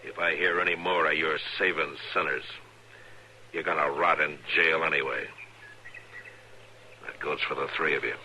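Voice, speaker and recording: A tough, steady western marshal with an extremely deep, hard-edged voice. He speaks plainly and firmly, with few words, on a faintly hissy old radio drama recording. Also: normal speed, long pauses, full character voice. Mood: stern warning. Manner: plain-spoken, firm, laconic. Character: tough, level-headed frontier US marshal.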